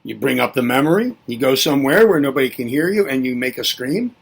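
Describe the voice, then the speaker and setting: A man's voice: mid-range voice, lively intonation, fast talker, creaky, husky. A man giving a reflective spoken lesson, musing aloud and asking himself rhetorical questions before answering them. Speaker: presenter.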